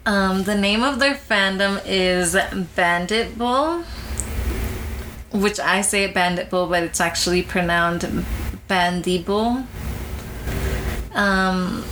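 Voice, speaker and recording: A woman's voice: medium pitch, slow pace, a bit bright. A young woman co-hosting a casual podcast, teasing her co-host bluntly and laughing often. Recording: noisy home recording.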